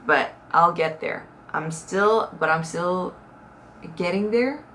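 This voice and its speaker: A woman's voice: fairly low pitch, slow, fairly bright tone. A young woman with a Korean accent, a native Korean speaker, chatting earnestly to her viewers in a casual vlog, with some hesitant second-language phrasing. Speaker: vlogger. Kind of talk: casual talk to camera. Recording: slightly distant mic with faint room noise.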